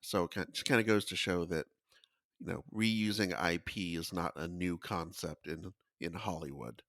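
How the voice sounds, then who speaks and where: A man's voice: low voice, fairly even intonation, moderate pace, a bit bright, no room sound, studio quality. A man giving explanatory podcast commentary in a conversational style, as a panelist.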